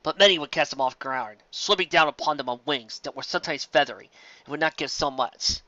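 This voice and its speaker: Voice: medium pitch, a little fast, pushed and bright, no room sound, lo-fi sound. A narrator reading a fantasy story aloud in a dramatic scripted style.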